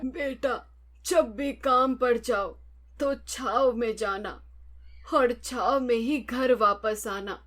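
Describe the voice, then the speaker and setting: A woman's voice: fairly high pitch, slow pace, fairly bright tone, faint music behind. A woman voicing an ailing old merchant father who gives solemn advice in a cartoon story.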